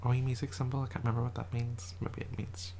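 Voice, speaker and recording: Soft-whispering ASMR speaker, slightly gravelly. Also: mid-range voice, flat delivery, fast, a little hiss or hum. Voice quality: whispered, soft-spoken delivery. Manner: rambling, self-correcting, chatty. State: voice a little rough, getting over a cold. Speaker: ASMR creator. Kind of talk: soft-spoken ASMR show and tell. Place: quiet house, indoors.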